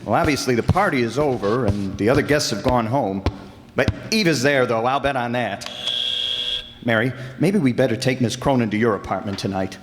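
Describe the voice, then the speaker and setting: A man's voice: medium pitch, moderate pace, natural voice. A man playing a persistent, earnest, plain-spoken detective in an old-time radio drama. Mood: concerned. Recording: echoey hall, faint music behind.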